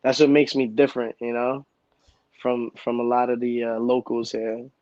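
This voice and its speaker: A man from Brooklyn, a comic creator talking in a relaxed way with street slang as an interview guest. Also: medium pitch, moderate pace, no room sound, muffled recording. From Brooklyn, New York. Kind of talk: casual interview chat.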